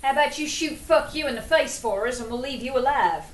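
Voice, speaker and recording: A high woman's voice acting a blunt, foul-mouthed frontier boxer in an audio drama. She is tough and sardonic, in an echoey scene with faint noise. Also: brisk, natural voice. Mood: taunting. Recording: echoey, slightly noisy battle scene.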